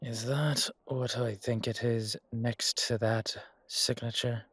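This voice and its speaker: A man with an Irish accent playing a hot-headed, loyal knight in a roleplay audio drama, gruff and colloquial with a wry streak. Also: medium pitch, fairly even intonation, slow, a bit bright, dry recording. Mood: grim, wary.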